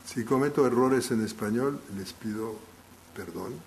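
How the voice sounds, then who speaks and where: Halting male lecturer, not a native speaker. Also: mid-range voice, a little mellow, slight background noise. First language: not Spanish. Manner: halting, full of restarts while thinking aloud. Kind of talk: live lecture to an audience.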